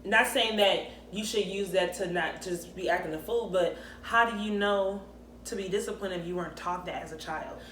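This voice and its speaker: A woman's voice: mid-range voice, a bit bright. Thirtyish woman co-host, emphatic and conversational; roomy echo, light background hiss. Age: 30s. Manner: emphatic, conversational, quick back-and-forth. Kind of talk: candid casual chat. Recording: echoey room with faint ambient noise.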